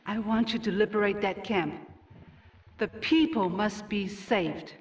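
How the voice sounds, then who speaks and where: Game cutscene role: regal ruling mother, played by a woman, stern and formal, measured delivery, slightly distant sound. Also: mid-range voice, fairly even intonation, close to an everyday voice. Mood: cool, commanding. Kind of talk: scripted video game cutscene acting.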